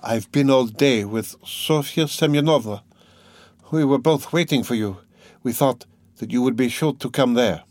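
A man's voice: medium pitch, normal speed, darkish tone, no echo, natural voice. A man voicing an anxious, devoted young woman, an earnest and distressed sister, in a pleading, emotional way for an audiobook.

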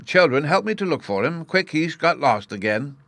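Man playing a flustered old storybook professor, pitched high. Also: lively intonation, normal speed, a bit bright, dry recording, close to an everyday voice. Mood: flustered, wild. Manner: flustered, pedantic. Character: excitable, absent-minded, kindly old male professor.

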